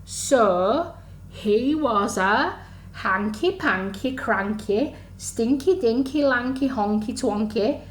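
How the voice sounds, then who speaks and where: A woman reading a story to young children in an animated, sing-song, exaggerated way, as a storyteller for under-fives. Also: a little high, slow pace. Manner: sing-song, exaggerated, asks the listeners questions. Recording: echoey room, faint background noise.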